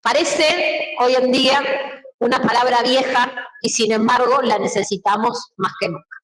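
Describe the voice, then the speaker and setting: A woman's voice: a little high, measured pace, fairly bright tone. An Argentine woman giving an impassioned political talk, with emphatic repetition and rhetorical questions. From Mendoza, Argentina. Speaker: book presenter, political speaker. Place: indoor public book presentation. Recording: roomy event hall, distant microphone.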